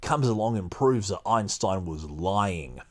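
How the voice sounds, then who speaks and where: A man with a fairly low voice hosts a podcast, moving between reading aloud and casual commentary. His delivery is halting and emphatic, like someone thinking through an argument as he talks. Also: lively intonation. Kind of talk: casual commentary mixed with read-aloud passages.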